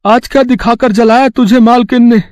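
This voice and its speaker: A man's voice: very high-pitched, fairly even intonation, moderate pace, darkish tone, no room sound. A man playing a poor, caring labourer husband in a story, earnest and plain-spoken. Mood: concerned.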